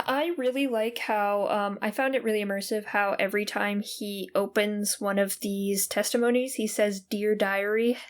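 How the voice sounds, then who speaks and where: A woman's voice: medium pitch, level tone, moderate pace. A woman with a mid-range voice speaks as a podcast co-host. Her delivery is informal and playful as she casually discusses video game stories and characters.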